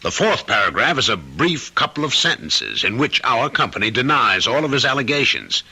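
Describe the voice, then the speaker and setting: An actor playing an evasive, smooth-talking executive husband, hesitant and placating, in an old-time radio drama. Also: male voice, mid-range voice, very animated, moderate pace, pushed and bright, heavily acted, low-quality recording. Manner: hesitant, placating, self-justifying.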